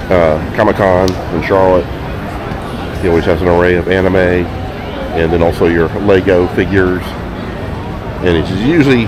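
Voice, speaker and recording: A man giving a chatty, enthusiastic walkthrough of a convention hall, filmed on a handheld camera. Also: low-pitched. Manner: enthusiastic, chatty, plenty of fillers. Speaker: vlogger touring a convention. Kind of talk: casual walkthrough commentary. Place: large indoor convention hall. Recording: crowded hall background noise. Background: crowd chatter.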